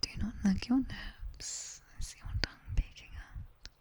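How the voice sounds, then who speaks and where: A British woman playing a sharp-tongued nightmare demoness tutor in an ASMR roleplay, in a breathy whisper, teasing and strict. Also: measured pace, close to an everyday voice. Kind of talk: whispered ASMR roleplay, slow guided instruction. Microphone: close binaural microphone.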